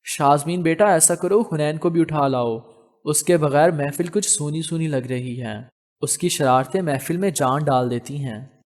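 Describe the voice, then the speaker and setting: A man's voice: on the high side, measured pace, a little mellow, close to an everyday voice. A man voicing a genial, decisive older uncle, the affectionate head of a family, in an audio novel. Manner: fatherly, decisive. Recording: long hall reverb on voice.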